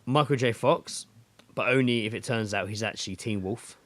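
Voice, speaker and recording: A man's voice: fairly low pitch, moderate pace, fairly bright tone, dry recording. A British man co-hosting a podcast, chatting casually and matily with plenty of swearing. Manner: sweary, matey. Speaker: podcast co-host. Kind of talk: casual banter between friends.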